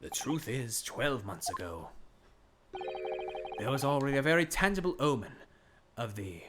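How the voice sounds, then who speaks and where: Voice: medium pitch, animated, moderate pace, noisy background, natural voice. A streamer putting on a drawling British detective. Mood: smug, explaining. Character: eccentric pompous male British detective. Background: game music.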